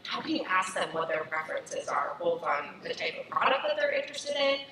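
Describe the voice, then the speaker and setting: A woman's voice: lowish voice, very animated, brisk. A woman speaking fluently as a marketing panelist, often saying "you know". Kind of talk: panel discussion. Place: stage at a summit. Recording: echoey hall, distant mic, noisy.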